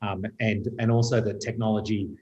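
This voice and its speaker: A man with an Australian accent, a retail executive speaking in a panel discussion with a measured manner and frequent pauses. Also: on the low side, flat delivery, a little mellow, dull audio.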